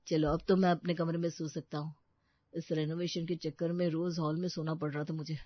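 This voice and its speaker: A woman's voice: low-pitched, flat delivery, a little fast, no room sound. A woman voicing a lovestruck, indulgent young man in an audio story, mild and accommodating. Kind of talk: Hindi audio story acting. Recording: slightly dull, dry studio recording.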